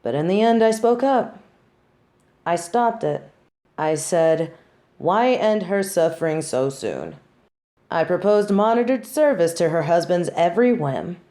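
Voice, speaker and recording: A woman playing a snobby, wry tsundere who judges others, in an intimate scripted audio roleplay with deliberate, dramatic delivery. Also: sits low, dark tone. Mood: cool, vindictive.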